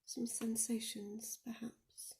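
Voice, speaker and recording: A woman leading a guided meditation in a soft, soothing, often near-whispered voice, speaking deliberately with gentle pauses. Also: on the high side, flat delivery. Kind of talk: guided body scan meditation.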